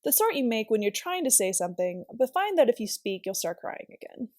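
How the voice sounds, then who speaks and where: A woman's voice: a little high, sing-song, brisk, a little mellow, no room sound, studio quality. A woman reading aloud as a volunteer storyteller, narrating a children's novel expressively.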